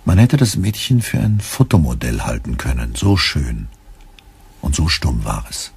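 A man with a husky voice narrating a German literary radio play from a script. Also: very deep voice, big pitch swings, unhurried, a little mellow.

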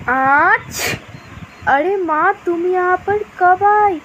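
A woman plays a sick young daughter in an animated story. She complains plaintively in a high, slow voice, with faint noise behind. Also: lo-fi sound. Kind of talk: animated moral story dialogue.

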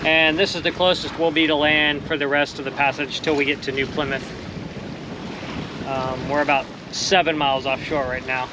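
A man in his forties vlogging casually from the deck of a small sailboat, relaxed and upbeat with loose fillers and soft laughs. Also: fairly high pitch. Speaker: solo sailor vlogger. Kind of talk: casual vlog narration. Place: outdoors on the deck of a small sailboat at sea, wind blowing. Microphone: action camera close on the boat. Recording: windy outdoor camera audio on open water. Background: wind and water rushing past the hull.

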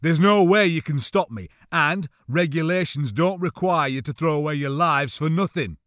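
A man's voice: a little high, normal speed, a bit bright, dry recording, studio quality, voice pushed up, close to an everyday voice. A man voicing a principled, defiant soldier in an audiobook, urgent and firm. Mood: urgent, resolute.

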